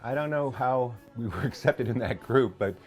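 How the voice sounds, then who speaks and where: A man's voice: medium pitch, normal speed, soft background music. An American man in his sixties, a band musician, chatting about the past in an interview. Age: 60s. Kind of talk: casual interview reminiscence.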